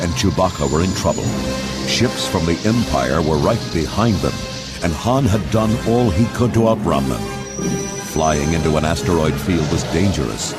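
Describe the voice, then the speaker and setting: A man narrating a scripted storybook read-along in a dramatic storytelling manner. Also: low-pitched. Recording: loud music under narration.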